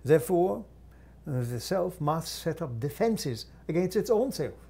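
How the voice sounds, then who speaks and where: A man channels a guide entity in a formal, unhurried teaching voice. His pitch sits a little high and his tone is mellow and dark. He breaks his phrases with long pauses and quiet hums. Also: very animated, vocal fry, slightly rough. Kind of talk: slow deliberate channeled teaching.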